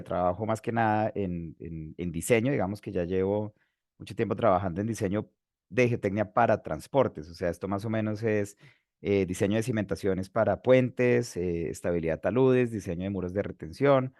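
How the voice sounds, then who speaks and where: A Colombian man in his forties with a Bogotá accent, speaking in a low voice on a webinar. He talks haltingly, filling pauses and restarting phrases. The sound is dry, with no room echo. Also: a little mellow. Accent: Bogotá Colombian Spanish. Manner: hesitant, many fillers and restarts. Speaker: webinar speaker, geotechnical engineer. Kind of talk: webinar lecture.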